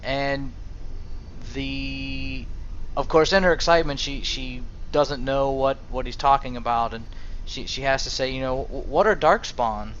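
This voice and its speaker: A young man casually narrating a role-play over a video game, hesitant and halting as he speaks. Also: medium pitch, a bit bright. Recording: dull home mic, faint noise.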